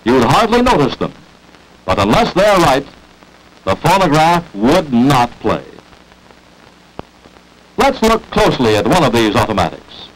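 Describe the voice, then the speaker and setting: An American man reading scripted narration for a 1940s promotional industrial film, with emphatic, salesmanlike, deliberate phrasing, on an old film soundtrack. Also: medium pitch, wildly swooping pitch, low-quality recording.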